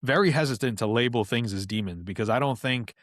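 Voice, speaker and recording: A man's voice: medium pitch, fast, a bit bright, dry recording, studio quality. A man guesting on a podcast, telling stories in a loose, chatty way with lots of "like" and casual swearing. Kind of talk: casual storytelling chat.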